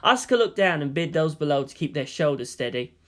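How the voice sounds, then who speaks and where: A man reading fan fiction as an expressive scripted narration. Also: on the high side, a little fast.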